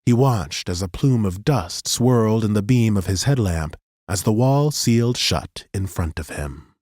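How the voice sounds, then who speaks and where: A man narrating a fantasy audiobook in a steady, measured storytelling manner, close to a studio microphone. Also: fairly low pitch, no echo.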